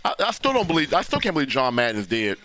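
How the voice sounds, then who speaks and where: A man, hoarse and losing his voice, hosting a casual live football reaction stream and talking loosely with frequent restarts. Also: mid-range voice, wildly swooping pitch, rapid-fire, sharp tone, no echo. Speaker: livestream host reacting to a football game.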